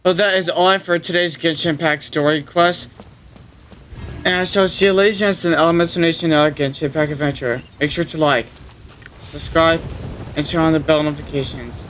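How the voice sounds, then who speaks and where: A woman's voice: deep voice, steady tone, a little fast, a little hiss or hum, rough recording. A woman hosting a game playthrough, commenting and reading dialogue aloud. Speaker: gaming channel host.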